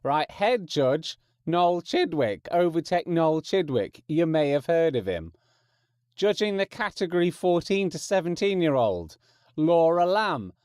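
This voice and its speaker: A man's voice: fairly high pitch, sing-song, measured pace, darkish tone, clear, resonant tone, no room sound, clean studio sound. A man hosting a podcast, giving informal announcements in a chatty, rambling, wry and self-mocking way. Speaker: podcast host.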